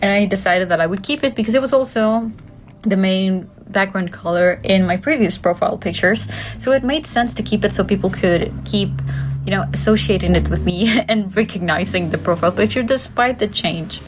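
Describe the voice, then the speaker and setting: A woman's voice: medium pitch, moderate pace. A woman, chatting casually to camera as a vlogger, wandering off on tangents with frequent laughs and casual swearing. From Costa Rica. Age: 20s. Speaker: art student and content creator. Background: faint background music.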